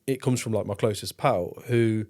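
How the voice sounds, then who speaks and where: A man's voice: fairly low pitch, moderate pace, a little mellow. A man hosting a casual podcast conversation, rambling and informal, umming and ahing and swearing freely. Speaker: podcast host.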